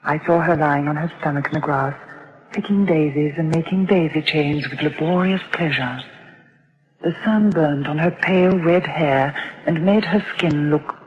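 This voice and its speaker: An actress playing a gentle, worried young mother who narrates her own story in an earnest, soft voice, on a dull, lo-fi old radio recording. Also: deep voice, moderate pace, natural voice. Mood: calm. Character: anxious young foster mother, gentle and protective. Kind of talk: 1950s-style radio drama narration.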